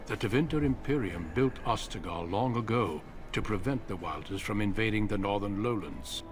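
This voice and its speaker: An actor playing a grave veteran commander of warriors in a video game, briefing in a measured, solemn way. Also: male voice, fairly low pitch, animated, close to an everyday voice. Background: game music.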